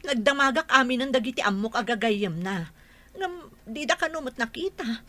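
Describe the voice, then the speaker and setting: A woman's voice: a little high, animated, normal speed, sharp tone. A woman playing an anxious mother in an amateur audio drama, with an Ilocano accent. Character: anxious mother searching for her missing daughter.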